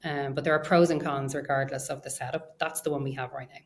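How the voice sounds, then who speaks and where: A woman's voice: a bass voice, little pitch movement, quick pace. A woman speaking as an executive guest in an interview, explaining conversationally and thinking aloud with hedged phrasing. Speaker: interview guest, research and data science executive.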